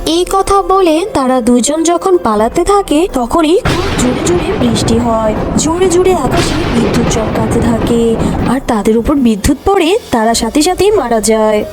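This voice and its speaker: High-pitched woman storyteller. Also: animated, moderate pace, music in the background. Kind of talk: scripted fairy-tale cartoon narration.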